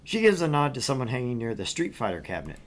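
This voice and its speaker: Animated, wry game streamer reading aloud. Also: mid-range voice, fast talker. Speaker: gaming streamer. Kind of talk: visual novel read-aloud with casual commentary.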